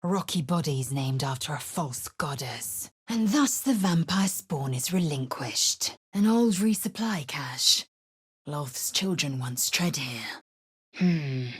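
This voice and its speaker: An actress voicing a fierce, proud githyanki warrior woman in a game, speaking in a clipped, commanding way and often dropping low and hushed. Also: lively intonation, slow, husky, dry recording, clean studio sound, full character voice. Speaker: game character. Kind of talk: studio game voice acting.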